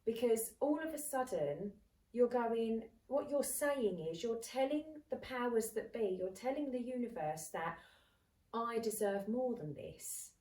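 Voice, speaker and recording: A woman's voice: on the high side, a little slow, distant mic. A woman in her thirties co-hosting a casual chat, talking animatedly and acting out what people said. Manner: animated, acts out quoted speech. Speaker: podcast co-host. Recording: echoey room, off-mic.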